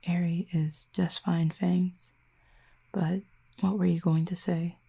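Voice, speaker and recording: A soft-spoken cupid cast out of her home realm, voiced by a woman in an audio roleplay, low and breathy with a dark, mellow tone. She talks slowly and quietly, sometimes close to a whisper, and her pitch barely moves. She stays gentle and wistful throughout. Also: flat delivery, heavily acted. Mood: teasing. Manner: soft, hushed and slow, often near a whisper.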